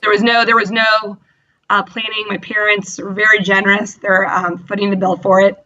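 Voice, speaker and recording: A woman's voice: medium pitch, level tone, moderate pace, sharp tone, highs cut off. A woman in her thirties, a guest in an interview, telling her own story in a chatty way with many fillers and quoted self-talk. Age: 30s. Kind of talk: candid personal story.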